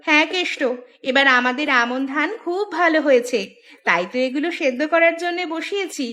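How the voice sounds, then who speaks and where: High-voiced expressive village housewife. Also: female voice, a little fast. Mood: teasing. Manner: expressive, dramatic. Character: expressive village housewife, teasing and quick to complain. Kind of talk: Bengali cartoon voice acting.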